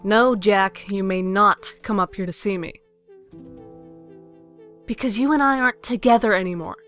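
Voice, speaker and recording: Fed up young lady woman acting, average pitch, firm, soft music behind. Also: normal speed, close to an everyday voice. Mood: exasperated. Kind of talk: comic dub character acting.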